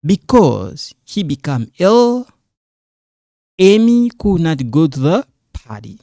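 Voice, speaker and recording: A man's voice: on the high side, very animated, takes it slowly, warm tone, no room sound, clean studio sound. A man who is not a native English speaker teaching an English grammar lesson in a casual, repetitive way, often checking in with "all right?". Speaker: ESL teacher. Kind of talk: casual classroom explanation.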